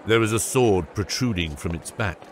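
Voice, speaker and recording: Low-pitched male fantasy narrator. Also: normal speed, slight background noise. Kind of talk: scripted fantasy audiobook narration.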